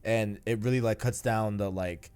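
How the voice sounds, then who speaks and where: A man's voice: on the low side, steady tone, normal speed, a little mellow, smooth. A man hosting a podcast, chatting casually about video games in slangy speech and often repeating himself as he agrees. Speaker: podcast host.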